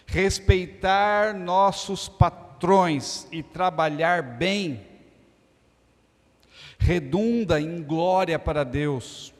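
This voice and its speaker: A man from Brazil preaching a sermon in a church, joking one moment and stressing lists of words the next. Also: fairly high pitch. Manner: humorous, storytelling, emphatic lists. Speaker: pastor. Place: church hall. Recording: church hall reverb.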